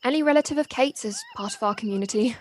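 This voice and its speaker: A woman's voice: a little high, fast, lightly acted. A woman acting in an audio play as a young local daughter, loyal, polite and matter-of-fact, friendly but a little guarded. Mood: guarded, reassuring. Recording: faint noise, home personal-device recording.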